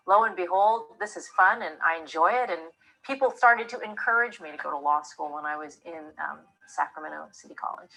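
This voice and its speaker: A woman telling her story in a lively way in a recorded testimonial. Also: on the low side, rough recording.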